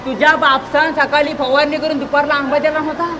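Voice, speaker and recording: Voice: high-pitched, flat delivery, brisk, over a noisy crowd, close to an everyday voice. A performer playing an irritable, blustering village man who scolds and threatens in a rustic school stage comedy. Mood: angry. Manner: scolding, combative, exclaiming. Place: live stage at a school event. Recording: noisy live stage recording.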